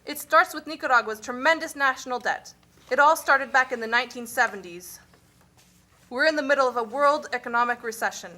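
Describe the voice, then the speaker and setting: A woman's voice: high-pitched, a little fast, bright, forceful tone. A woman playing an earnest Nicaraguan who explains her country's hardship in a scripted puppet show.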